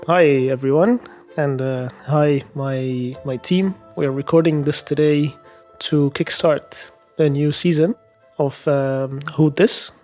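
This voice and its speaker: A man's voice: a little high, fairly even intonation, a little mellow, soft background music. Thoughtful man hosting a round-table. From Egypt. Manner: thoughtful, with fillers and pauses. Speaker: podcast host. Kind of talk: casual round-table chat.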